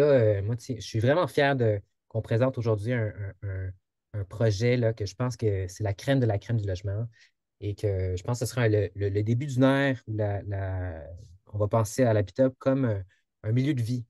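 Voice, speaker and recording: A man's voice: lowish voice, mellow, no room sound, clean studio sound. A man in his thirties with a Québécois accent, giving an informal presentation with many fillers and restarts. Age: 30s. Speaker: project presenter. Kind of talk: informal presentation in an online info session. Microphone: video call.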